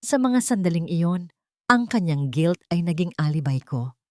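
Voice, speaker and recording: A woman's voice: on the low side, expressive, normal speed, no echo, studio quality. A woman with a Filipino accent giving dramatic, scripted first-person story narration.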